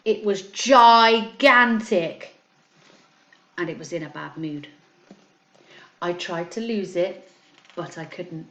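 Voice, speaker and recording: A woman's voice: on the low side, slow pace, pauses often, fairly bright tone, a little hiss or hum. A woman, a primary school teacher, reads a children's story aloud and talks to pupils, explaining simply and stressing words expressively. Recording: echoey, roomy sound.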